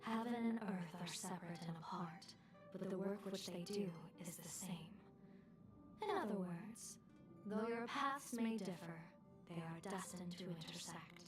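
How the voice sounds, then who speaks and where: An actress playing a calm, resolute fortune-telling strategist in a game, speaking in measured, formal lines. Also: female voice, fairly low pitch, far from the mic, over music, natural voice. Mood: measured, grave. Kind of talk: scripted game dialogue.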